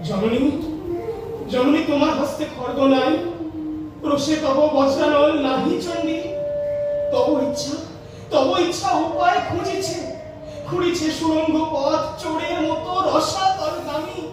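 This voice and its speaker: A performer playing an anguished, devout young male disciple in a verse stage drama, pleading in drawn-out, impassioned phrases. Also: sits high, steady tone, noisy background. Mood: shocked, anguished. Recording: echoey hall, distant mic, faint music.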